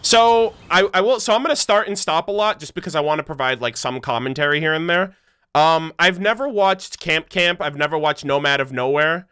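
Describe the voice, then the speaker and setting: A man's voice: on the high side, a little fast, bright, forceful tone, clear, resonant tone. A man hosting a reaction video, giving casual, slangy commentary full of exclamations.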